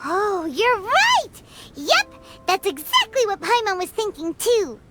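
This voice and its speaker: A woman voicing a tiny, childlike fairy companion in a video game, squeaky, perky, feisty and quick to get indignant. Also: very animated, heavy background noise, exaggerated voice. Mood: curious, perky. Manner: perky, indignant, refers to self by name. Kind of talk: cartoonish video game character acting. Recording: game music under the voice. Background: video game music.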